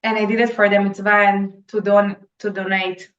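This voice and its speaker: A young Israeli woman whose first language is Hebrew, speaking earnestly and excitedly as an interview guest, sometimes searching for English words. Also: mid-range voice, normal speed, reverberant, dull audio. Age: young adult. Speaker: egg donor guest. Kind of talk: webinar interview.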